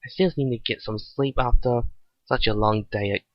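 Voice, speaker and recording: A man voicing a kind, curious young woman mechanic in a story reading, earnest and halting. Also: medium pitch, fairly even intonation, dry recording, close to an everyday voice. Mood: musing. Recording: dull lo-fi recording.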